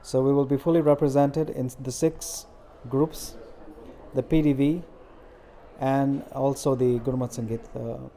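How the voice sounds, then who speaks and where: A middle-aged man answers questions earnestly and at a measured pace, pausing often on fillers. His voice is mellow and dark, sitting in an ordinary middle pitch. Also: level tone. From India. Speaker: interviewee, community organizer. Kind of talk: interview answers.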